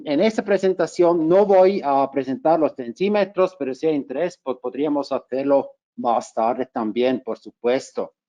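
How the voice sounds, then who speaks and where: A man's voice: a little high, dark tone. A man from Switzerland with a Swiss German accent, a native German speaker presenting a technical webinar in a second language, speaking carefully and often restarting phrases. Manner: careful, with frequent restarts and fillers. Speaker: market manager presenting a webinar. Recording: muffled lo-fi webinar audio.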